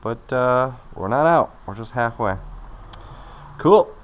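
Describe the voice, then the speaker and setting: A man's voice: medium pitch, expressive, slow pace, lo-fi sound. A man casually talking himself through a hands-on task as a vlogger, in short, loose, muttered phrases. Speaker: vlogger doing his own car maintenance.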